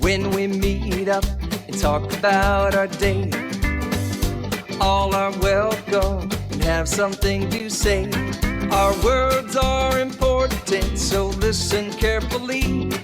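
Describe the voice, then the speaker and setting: A woman sings a children's song at an unhurried pace. Prominent country music with guitar plays along with her voice throughout. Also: medium pitch, fairly even intonation.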